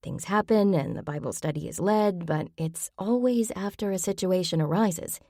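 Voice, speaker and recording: A woman's voice: lowish voice, lively intonation, moderate pace, warm tone, dry recording, clean studio sound. A woman voicing a practical, puzzled adult woman who asks reasonable questions in an audiobook.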